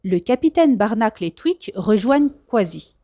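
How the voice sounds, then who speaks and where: A woman narrates a children's picture book with lots of expression. Her voice is on the high side and moves in lively swings. The sound is close and dry. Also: normal speed, no echo. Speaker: storybook reader.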